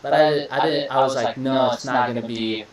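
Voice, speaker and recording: A man's voice: mid-range voice, moderate pace, distant mic. Easygoing young man, echoey room, off-mic. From Iraq. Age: young adult. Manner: relaxed, repeats words, says "bro" and "beautiful" often. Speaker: podcast host. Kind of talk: casual interview chat.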